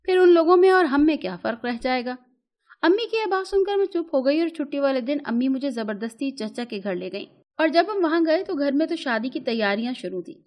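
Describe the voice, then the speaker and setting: High-pitched woman narrating a story. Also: a little mellow. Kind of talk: scripted first-person storytelling narration.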